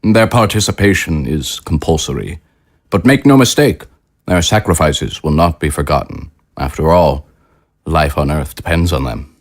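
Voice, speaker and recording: An actor playing a grandiose, smoothly menacing government official in an audio drama, with formal, oratorical delivery. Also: male voice, very deep voice, animated, moderate pace, full character voice. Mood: smoothly authoritative. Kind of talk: scripted audio drama acting.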